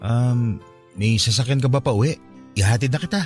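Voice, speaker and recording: A man acts out a resigned, gentle young Filipino in a radio love drama. His voice is mid-pitched, his delivery subdued and plain-spoken. Faint music plays behind him. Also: a little fast, lightly acted. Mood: tentative, kind.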